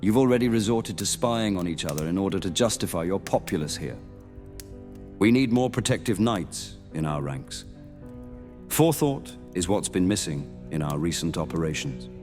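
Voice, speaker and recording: A man's voice: low-pitched, expressive, normal speed, a little mellow. A man playing an earnest, welcoming knight who guides newcomers round a monster hunters' guild in formal, old-fashioned speech, in a fantasy roleplay drama. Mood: earnest, solemn. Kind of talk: immersive fantasy roleplay audio drama acting. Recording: long reverb, music bed behind.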